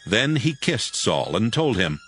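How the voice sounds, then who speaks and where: A man narrating a dramatized audio Bible in a measured, expressive storytelling manner. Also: fairly low pitch, slight background noise. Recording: faint music behind the voice.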